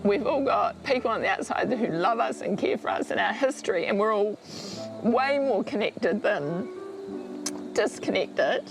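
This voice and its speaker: A woman's voice: mid-range voice, lively intonation, normal speed, creaky, over music, poor audio. A woman, a reality show contestant speaking candidly and reflectively in a confessional interview. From born in Austria, raised in New Zealand. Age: adult with children.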